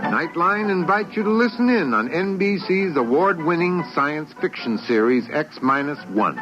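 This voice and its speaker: An American man hosts in vintage radio style, reading a scripted introduction. His fairly high voice is very animated over loud music on a muffled old recording. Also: moderate pace. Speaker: radio programme host.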